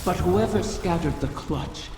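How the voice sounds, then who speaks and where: An actor voicing an ancient wish-dragon spirit in a video game, proud, bitter and cryptic, with an otherworldly reverberation. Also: mid-range voice. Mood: cryptic, imperious. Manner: cryptic, imperious, deliberate. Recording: long reverb, clear background ambience noise.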